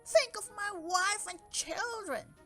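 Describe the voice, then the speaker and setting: A woman's voice: very high voice, takes it slowly, a bit bright, soft background music, voice pushed up, full character voice. A woman voicing a put-upon male storybook dragon who protests and pleads with exclamations. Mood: pleading.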